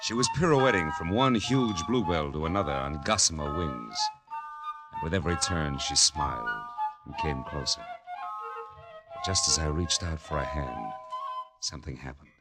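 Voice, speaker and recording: Male actor as hard-boiled American private eye, lowish voice, world-weary, wry, 1940s radio drama, loud musical backing. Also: moderate pace, close to an everyday voice. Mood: wry, dramatic. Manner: wry, terse, tough. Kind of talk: 1940s radio noir narration and acting. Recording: old radio recording with loud music behind.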